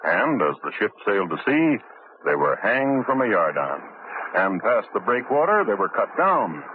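Deep husky guy narrating an old radio crime show, lively delivery, tinny sound. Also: moderate pace, background noise.

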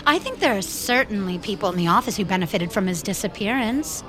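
Woman playing a pointed, accusing coworker, animated pitch. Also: a little fast, a crowd in the background, lightly acted. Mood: accusing, sardonic. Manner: pointed, insinuating. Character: accusing, sardonic female office coworker, pointedly probing. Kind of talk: naturalistic audio drama acting.

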